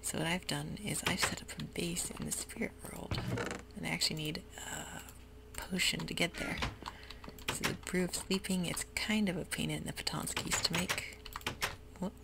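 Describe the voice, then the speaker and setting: A woman whispering softly through a relaxed, rambling ASMR game commentary. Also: on the low side, moderate pace, gravelly, heavy background noise. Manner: soft whisper, rambling and easygoing. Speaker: YouTuber playing a game. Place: home room with sprinklers outside.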